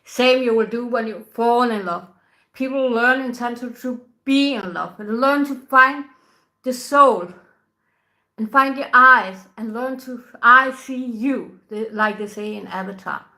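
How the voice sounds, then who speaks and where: A woman, a tantra teacher talking casually to camera in soft, unhurried English, often laughing between thoughts. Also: on the high side, low-quality recording. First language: Danish. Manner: soft-spoken, unhurried, laughs often, non-native grammar. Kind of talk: casual spoken talk to camera.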